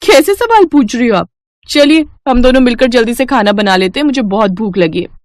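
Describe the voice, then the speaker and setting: A naive young bride, earnest and flustered, played by a woman for a cartoon. Her voice is somewhat high for a woman and recorded dry and close. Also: animated, a little fast, dry recording, natural voice. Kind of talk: cartoon dubbing.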